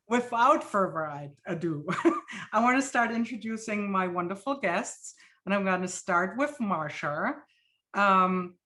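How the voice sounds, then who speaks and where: A woman's voice: medium pitch, fairly bright tone. A woman from Germany with a German accent, hosting an art webinar over a video call in a casual, chatty way with many fillers. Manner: chatty, with frequent fillers and laughs. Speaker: host. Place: home studio.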